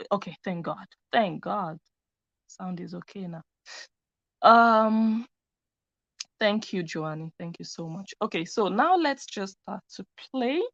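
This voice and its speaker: A woman's voice: medium pitch, no echo. Woman, live stream host, chatty, talks to viewers, lo-fi computer mic. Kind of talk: casual live stream commentary. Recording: dry, dull lo-fi sound.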